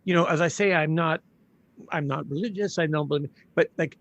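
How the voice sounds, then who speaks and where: A man's voice: a little high, brisk, fairly bright tone, lo-fi sound. A man hosting an interview, talking thoughtfully and hesitantly and often restarting his sentences. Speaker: host. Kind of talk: casual interview conversation.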